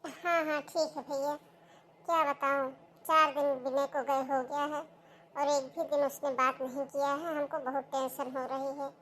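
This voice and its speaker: A woman's voice: high voice, little pitch movement, moderate pace, fairly bright tone, low-quality recording. A woman voicing a fussy, worried older mother-in-law in a cartoon, with a scolding, homely way of talking. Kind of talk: cartoon voice acting.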